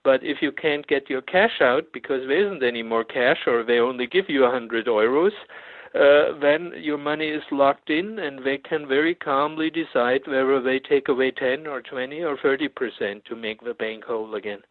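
A man's voice: medium pitch, no room sound. Man talking over a tinny phone line. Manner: halting, many fillers and restarts. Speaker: guest author. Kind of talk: phone interview.

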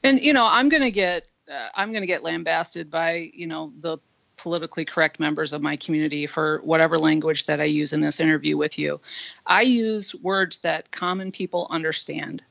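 A man with a husky voice, a comedian speaking frankly as an interview guest, with many fillers. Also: a little high, brisk, a bit bright, no room sound. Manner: earnest, frank, with frequent fillers. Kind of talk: candid interview talk. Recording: dull lo-fi dry line.

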